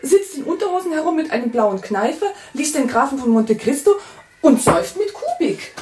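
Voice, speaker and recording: A woman's voice: high voice, big pitch swings, moderate pace, darkish tone. An actress playing a gossipy, envious, grumbling neighbour woman in a German radio play, chatty and needling. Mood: indignant complaining. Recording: roomy, distant mic, faint music.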